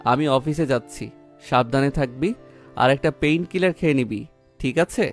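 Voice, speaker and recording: A man's voice: mid-range voice, moderate pace, lightly acted. A man playing a bossy young businessman husband in an audio love story, terse and commanding but caring, with music underneath. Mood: bossy, tender.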